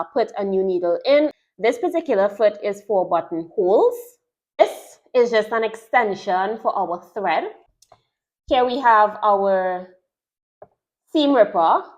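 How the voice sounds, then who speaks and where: Trinidadian woman, sewing teacher, chatty step-by-step unboxing talk, mid-pitched voice. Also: lo-fi sound. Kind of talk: product unboxing and tutorial.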